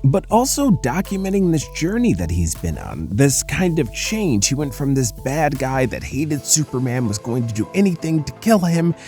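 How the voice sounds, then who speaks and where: A man hosting a casual commentary recap, telling the story in an animated, conversational way. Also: mid-range voice, normal speed, few pauses. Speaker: comics recap host. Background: music.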